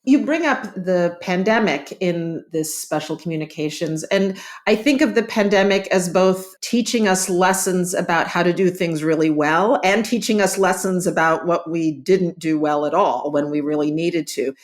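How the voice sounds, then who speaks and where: Lower-pitched woman hosting an interview. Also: moderate pace, hardly any pauses. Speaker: interviewer, journal editor host.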